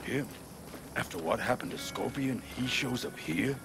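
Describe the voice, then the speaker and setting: A man's voice: medium pitch, animated, normal speed, background noise, lightly acted. An actor playing a distrustful, grumbling nomad man in a video game.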